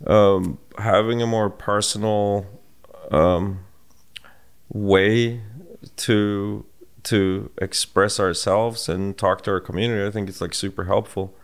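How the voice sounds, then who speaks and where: A middle-aged man with a Swedish accent, co-hosting a podcast in casual conversation, reflective and halting with restarts, recorded on a studio mic in a home room. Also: fairly low pitch, fairly even intonation, clean tone. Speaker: podcast co-host. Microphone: boom-mounted studio mic.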